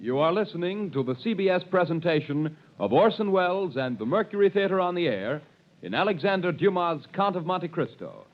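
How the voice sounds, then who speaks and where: A man's voice: sits high, very animated, a little fast, background noise. A man announcing a radio drama in a formal 1930s broadcast style. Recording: muffled vintage radio broadcast.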